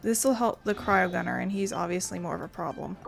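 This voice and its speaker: A woman's voice: lowish voice, brisk, gravelly, faint music behind. A woman giving casual let's-play commentary, thinking aloud in an informal way as she plays. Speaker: gaming video creator.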